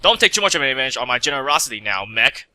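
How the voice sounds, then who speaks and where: A man's voice: medium pitch, big pitch swings, rapid-fire, bright, forceful tone, dry recording. A man voicing an elegant, haughty female pony seamstress in a prim, businesslike manner for a fan story reading. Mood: prim, brisk.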